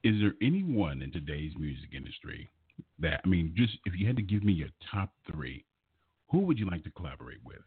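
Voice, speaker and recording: A man's voice: low-pitched, sing-song, brisk, husky, narrow, tinny audio. A man hosting a conversational radio interview, encouraging and complimentary toward his guest. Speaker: radio show host interviewing a singer.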